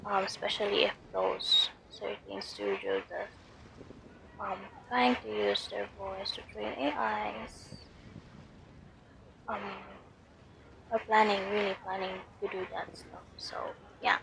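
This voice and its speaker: A woman from the Philippines, commenting softly over a game at home late at night, using a headphone mic, with lots of fillers. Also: little pitch movement, gravelly, background noise. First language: Tagalog. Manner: soft, lowered voice, slow, many fillers. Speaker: game streamer. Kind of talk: casual commentary over gameplay.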